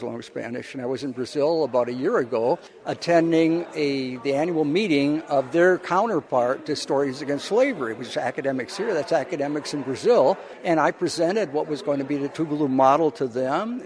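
A male college professor giving informal interview answers, explaining things in a halting, rambling way. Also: on the high side, a little mellow.

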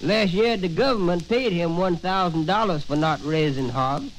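A man's voice: high-pitched, normal speed, close to an everyday voice, rough recording. A man playing a folksy, literal-minded American comic in a 1930s radio comedy dialogue. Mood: folksy, matter-of-fact. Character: folksy, literal-minded male comic explaining absurd schemes. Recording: faintly hissy 1930s radio recording.

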